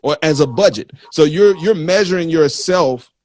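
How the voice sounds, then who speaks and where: A man hosting a casual interview, talking informally with slang in a halting way and often checking that he is followed. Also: fairly high pitch, a little mellow. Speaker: interviewer, magazine host.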